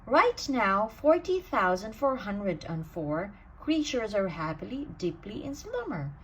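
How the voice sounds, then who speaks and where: A woman reading a rhyming bedtime storybook aloud in an expressive, sing-song way. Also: medium pitch, measured pace, a little hiss or hum, rough recording. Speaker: storybook reader.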